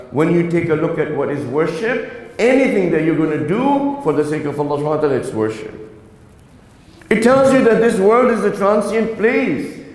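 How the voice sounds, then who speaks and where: A man whose first language is Urdu, giving an earnest religious talk to young people and often checking in with them. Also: high-pitched. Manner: earnest, exhorting, asks the audience "right?". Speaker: religious lecturer. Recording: echoey reverberant hall.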